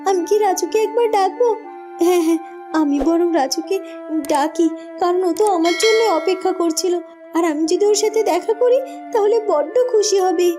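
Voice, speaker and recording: A woman's voice: very high-pitched, normal speed, music in the background. A woman voicing a sweet, devoted young mermaid, tender and earnest, in a melodramatic cartoon fairy tale. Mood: pondering.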